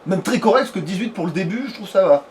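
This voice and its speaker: A man with a high-pitched voice commentates a video game casually. He rambles and swears freely in loose slang. The recording is an amateur one with faint noise behind him. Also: animated, quick pace, darkish tone. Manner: rambling, slangy, foul-mouthed. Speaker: let's play host and player.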